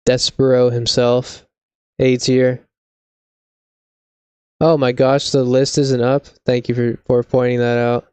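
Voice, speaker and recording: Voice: medium pitch, level tone, slow, long pauses, a little mellow, clear, resonant tone, no room sound. A laid-back, slangy live streamer of unclear sex, chatting casually with mock seriousness. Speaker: video game live streamer.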